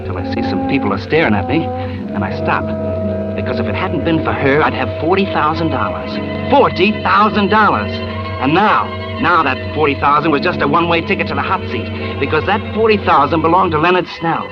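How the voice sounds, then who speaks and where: An American actor playing a scheming, bitter, cocky crook who narrates in tough slang in a 1940s radio thriller. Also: male voice, a little high, lively intonation, a little fast, close to an everyday voice. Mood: dazed, bitter, then bitter, resigned. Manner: tough slangy talk, agitated. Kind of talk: 1940s radio noir acting, first-person narration. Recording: dull old radio recording, loud music.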